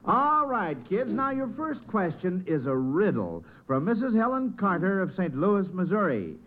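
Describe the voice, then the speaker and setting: A man's voice: high voice, wildly swooping pitch, normal speed. An American man hosting an old-time radio quiz in an effusive, encouraging manner. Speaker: quiz show host. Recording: thin, narrow vintage radio recording.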